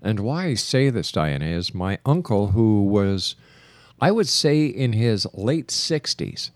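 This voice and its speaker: A man with a low voice hosting a radio interview show, talking in an earnest, measured way. He speeds up for station announcements, and the sound is dry and close. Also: big pitch swings, no echo. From Canada. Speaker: radio talk show host.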